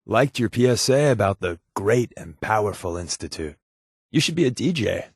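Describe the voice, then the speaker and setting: A man's voice: lowish voice, expressive, moderate pace, husky, dry recording, clean studio sound. A male actor playing a sly, sardonic undercover rebel spy in a video game, tossing out glib, casual quips. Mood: wry. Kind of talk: video game companion dialogue.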